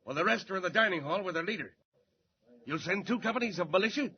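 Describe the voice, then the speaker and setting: A man's voice: high voice, expressive, quick pace. An actor playing an anxious male prison warden giving urgent orders in a 1940s radio drama, on a lo-fi transcription. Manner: urgent, pleading.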